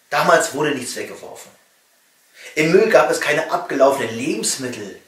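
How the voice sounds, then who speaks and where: A middle-aged man from East Germany reading a satirical comedy monologue with wry emphasis and pointed pauses. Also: on the high side, a bit bright. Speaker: cabaret comedian and author. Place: small home study, indoors. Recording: roomy echo, distant microphone.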